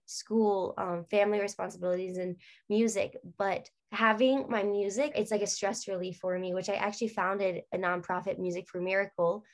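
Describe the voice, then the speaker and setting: A young adult woman, a guest in a casual interview, talking at length in a rambling way with many fillers. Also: mid-range voice.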